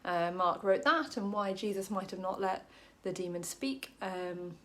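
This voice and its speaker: A woman from Wales talks through a short Bible passage in a relaxed, chatty way. She fills her sentences with ums and drops in small jokes about herself. Her voice sits at an ordinary middle pitch. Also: unhurried. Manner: chatty, many ums, self-deprecating jokes. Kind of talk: casual Bible study talk to camera.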